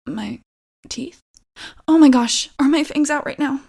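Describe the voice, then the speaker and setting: A flustered young female vampire played by a woman in an ASMR roleplay. Her voice sits fairly high with a marked creak. She rambles haltingly and apologetically, nervous and awkward. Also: a little mellow. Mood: flustered, panicked.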